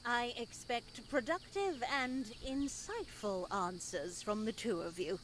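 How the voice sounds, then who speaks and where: Slow, stern, sanctimonious nun. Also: female voice, a little high, a little hiss or hum. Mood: stern, formal. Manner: measured, formal, preachy. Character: stern, sanctimonious nun lecturing two young women in her care. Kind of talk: dramatic visual novel voice acting.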